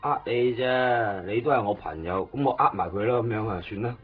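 An actor playing a cheeky, chatty young man in a Cantonese radio drama from Hong Kong, teasing and animated. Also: lowish voice, a little fast, lightly acted. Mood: grudging. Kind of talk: 1990s radio drama acting. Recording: dull 1990s radio recording with music.

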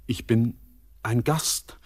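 An actor playing a tormented, brooding young man in a poetic radio drama, speaking in a halting, solemn and intense theatrical way. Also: medium pitch, a little mellow, husky. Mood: haunted, confessing.

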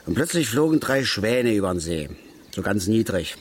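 A plain-spoken angler father in a naturalistic radio drama. His voice sits fairly low and he talks in blunt, halting bursts, repeating himself with an aggrieved edge. There is faint outdoor noise behind him. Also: sing-song. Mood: pensive. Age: middle-aged.

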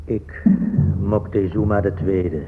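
An actor playing a stern, brooding forty-year-old Aztec emperor in a solemn radio drama monologue. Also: male voice, low voice, steady tone, slow. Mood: somber, troubled. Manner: solemn, formal. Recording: muffled phone-like old radio recording, clear noise.

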